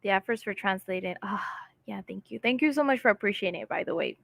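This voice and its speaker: A woman's voice: mid-range voice, fast talker, gravelly, a bit bright, no room sound. A woman hosting a casual live stream from home, chatting and reading viewer comments aloud with frequent laughs. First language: English.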